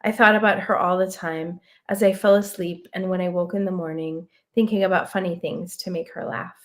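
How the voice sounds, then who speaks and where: A woman author reading her own literary prose aloud over a video call, unhurried and soft-spoken, with casual asides. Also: lowish voice, fairly even intonation.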